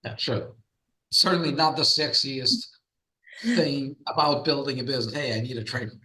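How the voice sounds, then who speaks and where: A man's voice: medium pitch, normal speed, pushed and bright, low-quality recording. A middle-aged man with a Russian accent, a guest on an interview podcast, talking at length with many fillers and restarts. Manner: storytelling, frequent fillers and restarts. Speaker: guest, trademark firm founder.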